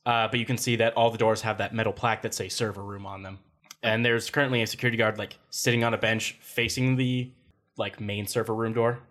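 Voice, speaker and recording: A young man narrating as game master in a tabletop role-playing game, casual and quick to laugh and swear. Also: on the low side, flat delivery, a bit bright.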